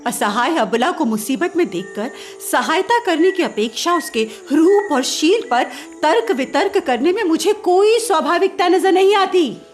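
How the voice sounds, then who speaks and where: An actress playing a proud, sharp-tongued woman stranded at night, formal and argumentative, in a radio play with music. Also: very high-pitched, lively intonation, measured pace, few pauses. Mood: offended, arguing.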